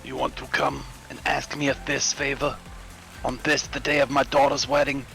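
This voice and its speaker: A man's voice: medium pitch, sing-song, moderate pace, creaky, pushed and bright, soft background music, heavily acted. A man putting on a cocky, needling New York mobster with a New York accent in a joking role-play. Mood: mock menacing. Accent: put-on New York. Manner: brash, needling. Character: cocky New York Italian-American mobster wise guy.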